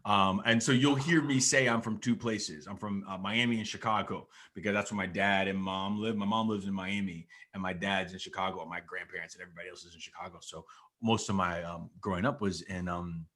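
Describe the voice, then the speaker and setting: A man's voice: sits low, fairly even intonation, brisk, a bit bright. A man from Florida hosting a podcast, chatting casually, laughing often and turning to address listeners. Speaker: podcast host. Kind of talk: casual interview chat.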